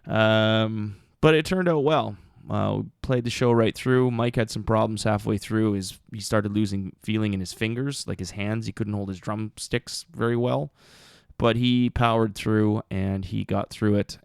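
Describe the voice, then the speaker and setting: Male podcast host, low and gravelly, mousy punk voice, rambling casual delivery with lots of fillers. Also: no echo, clean studio sound. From Canada. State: voice still recovering from screaming at a show. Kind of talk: casual chat.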